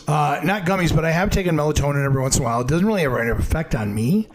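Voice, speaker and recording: A man's voice: a little high, expressive, rapid-fire, noisy background. A man co-hosting a casual talk show who chats in an easygoing way, with plenty of fillers. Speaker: radio co-host.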